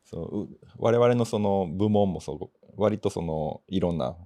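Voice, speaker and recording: A man in casual podcast chat, sharing reflective, measured opinions. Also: low-pitched, warm tone. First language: Japanese. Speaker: podcast co-host.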